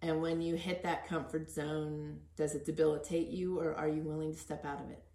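A middle-aged woman with a somewhat low voice hosts a casual conversation. She talks in an encouraging way, and the room adds a clear echo around her words. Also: little pitch movement, brisk, mellow. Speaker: podcast host interviewing her son.

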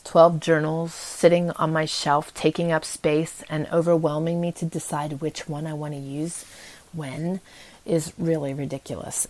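Low-pitched woman, encouraging podcast host. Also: darkish tone. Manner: earnest, encouraging. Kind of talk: casual motivational podcast talk.

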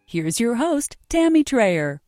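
A woman delivers a scripted show introduction as an announcer. Her voice is mellow, with lively rises and falls in pitch, and soft music plays behind her. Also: slow, dry recording.

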